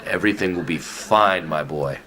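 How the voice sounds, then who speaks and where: A man's voice: low voice, normal speed, background noise, close to an everyday voice. An actor playing a jovial, patronizing older male official who reassures in an emphatic, avuncular way, in a comedic radio play. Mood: confident, reassuring.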